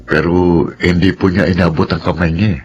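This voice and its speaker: An actor playing a considerate young grown son in a radio drama, polite and earnest. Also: male voice, low voice, fairly even intonation, moderate pace, dull audio, slight background noise, close to an everyday voice. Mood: hurt. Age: young adult. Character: considerate, hurt grown son, an office worker.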